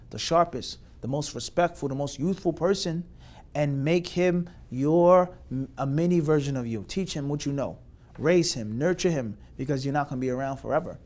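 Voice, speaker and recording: A man from the United States with a somewhat high voice gives an emphatic lecture of advice as a mufti. He builds his points in long rhetorical lists and mixes in Arabic religious terms. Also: a little mellow. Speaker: mufti giving advice to graduates.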